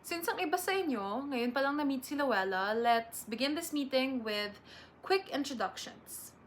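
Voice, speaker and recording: A woman's voice: high-pitched, lively intonation, measured pace. A Filipino woman playing a chatty, proud and bossy college instructor in her thirties in an amateur dramatic reading. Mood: officious, proud. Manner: chatty, officious. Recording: faint background noise.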